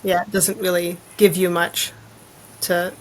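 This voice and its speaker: A woman's voice: lowish voice, monotone, unhurried, slight background noise, rough recording. A woman chatting casually as a podcast guest, speaking in a tentative, understated manner. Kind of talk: casual chat.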